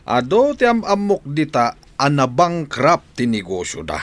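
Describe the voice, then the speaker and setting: Lazy ilocano husband, super swoopy voice, grudging and dismissive, dry radio drama. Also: male voice, on the high side, huge pitch swings, measured pace, dry recording. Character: lazy, dismissive husband and father who shirks work. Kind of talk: radio comedy-drama acting.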